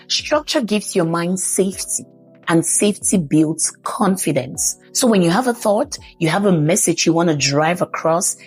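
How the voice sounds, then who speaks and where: A woman with a low, husky voice coaches listeners on public speaking. She talks with emphasis and keeps coming back to her key points, with soft music playing behind her. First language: English. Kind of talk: instructional talk.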